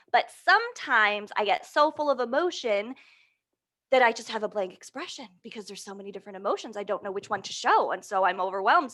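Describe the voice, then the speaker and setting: Bright, high-voiced woman leading children's storytime. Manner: animated, encouraging, asks questions and answers them aloud. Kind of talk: interactive picture-book storytime for young children.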